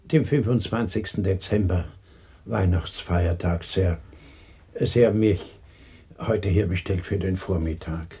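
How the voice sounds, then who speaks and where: An actor playing a timid, deferential clerk with a breathy, husky voice and a polite, apologetic manner in a 1960s German radio play. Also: male voice, low-pitched, unhurried, dark tone, exaggerated voice. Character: timid, deferential clerk, humble family man.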